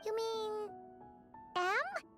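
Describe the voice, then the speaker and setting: A woman voicing a tiny childlike fairy sprite in a video game, curious and excitable, with a squeaky voice and exclamatory, questioning manner. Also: drawn-out words, over music, exaggerated voice. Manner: exclamatory, inquisitive.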